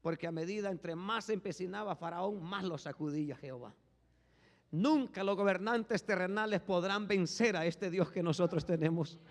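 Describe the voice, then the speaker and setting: A male pastor preaching a fervent sermon, swinging from hushed tones to shouting and repeating "brothers" as a refrain. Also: high-pitched, normal speed. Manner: repeats "hermanos" as a constant refrain. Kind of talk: Spanish-language sermon. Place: church sanctuary. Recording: long echo of a large hall.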